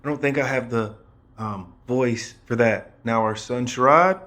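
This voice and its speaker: Man, mid-pitched, laid-back, hesitant, brief replies, casual podcast. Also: unhurried, fairly bright tone. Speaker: husband of the guest.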